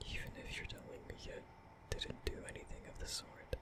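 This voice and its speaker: A man playing a nervous, gentle young vampire in a whispered ASMR roleplay, soft and breathy, speaking in a tender, hesitant, apologetic way. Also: brisk, lightly acted. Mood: apologetic, soothing. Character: nervous gentle young male vampire comforting a sick human.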